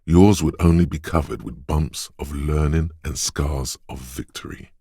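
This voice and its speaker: An extremely low, rasping male voice serves as the solemn inner voice of a fighter's instinct in a video game. The delivery is measured and deliberate, with dramatic weight on each phrase. The recording is dry and close. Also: mellow, no echo, heavily acted. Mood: grave, urgent. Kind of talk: scripted game narration, inner voice.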